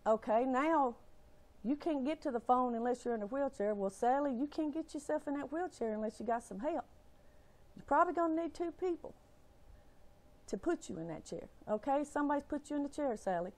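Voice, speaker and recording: A woman's voice: a little high, mellow. Upset, shaky-voiced Southern US woman. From Alabama, United States. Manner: exasperated, emphatic, folksy. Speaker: family caregiver. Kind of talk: impassioned rant to camera.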